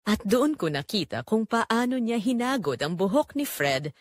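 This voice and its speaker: A betrayed middle-aged wife and mother, acted by a woman, narrates her own story for an audio drama. Her pitch moves widely through short, deliberate phrases, solemn and quietly bitter. The sound is dry, with very little room in it. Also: sing-song, dry recording. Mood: shocked, anguished.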